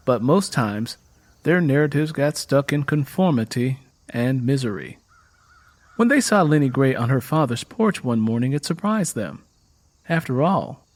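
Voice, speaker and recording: A man reading scripted audio drama narration as a storyteller, in a measured, literary manner. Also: mid-range voice.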